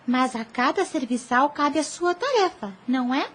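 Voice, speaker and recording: An actress playing an earnest, caring little girl in a Brazilian audio drama, with a childlike, animated delivery. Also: sits high, normal speed. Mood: puzzled.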